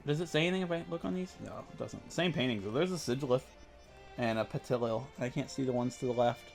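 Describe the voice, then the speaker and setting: Casting: mid-pitched, talkative man, casual gameplay commentary, faint game music behind. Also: fast talker, vocal fry. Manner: rambling and chatty, full of "like" and asides. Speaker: let's play host.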